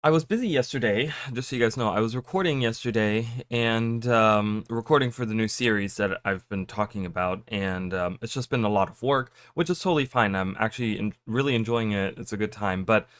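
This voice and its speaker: A man talking casually to his viewers, rambling with lots of fillers and restarts, his voice a little raspy from just waking up. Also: fairly low pitch, a little fast, few pauses, a bit bright, no room sound. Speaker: gaming YouTuber. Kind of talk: casual chat to camera.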